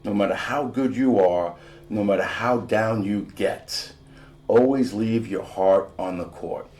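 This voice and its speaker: Man giving an expressive read-aloud. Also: on the low side, measured pace. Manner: expressive, acts out dialogue between family members. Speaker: reader from a school community. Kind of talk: animated read-aloud of a novel in verse.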